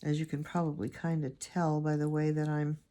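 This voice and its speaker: A woman with a raspy, allergy-hoarse voice, chatting and rambling as she teaches a watercolor painting lesson, recorded on a phone camera. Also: low voice, monotone, moderate pace, warm tone. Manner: chatty, rambling, thinks aloud while painting. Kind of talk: casual painting tutorial.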